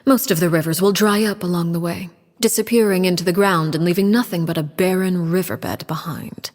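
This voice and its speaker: An actress playing a poised, cold female diplomat and matriarch in a video game, speaking calmly and precisely. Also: fairly low pitch, brisk, big-room sound, lightly acted. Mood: measured. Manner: calm, precise, quietly commanding.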